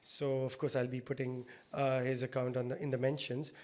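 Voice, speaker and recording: A man's voice: mid-range voice, little pitch movement, normal speed. A man hosting a podcast, a doctor asking a guest questions in a friendly way. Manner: friendly, asks questions at length. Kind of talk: casual podcast interview.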